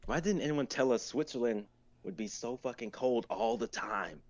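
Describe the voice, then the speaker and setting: A man's voice: mid-range voice, animated, moderate pace, lightly acted. A man reading the part of a casual, jet-lagged young traveler in a script reading. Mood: grumbling, cocky. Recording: dull lo-fi mic.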